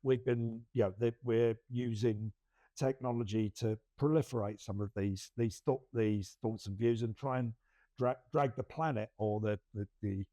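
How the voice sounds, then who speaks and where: A man's voice: mid-range voice, fairly even intonation, moderate pace, warm tone, no room sound. A middle-aged man, co-hosting a casual podcast and rambling through many restarts with self-deprecating laughs. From England. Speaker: podcast co-host. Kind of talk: casual chat.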